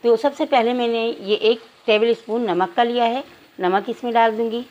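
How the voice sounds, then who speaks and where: A woman presents a home recipe in a fairly high voice. She talks straight to her viewers and takes them through the cooking one step at a time. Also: fairly even intonation, normal speed, darkish tone, lo-fi sound. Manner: step-by-step, addressing viewers directly. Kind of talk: instructional cooking narration.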